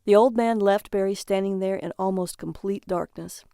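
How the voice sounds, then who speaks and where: Woman narrating a story, bit of gravel in the voice, really dry close sound. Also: mid-range voice, moderate pace, mellow, no echo. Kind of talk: scripted story narration, audio fiction.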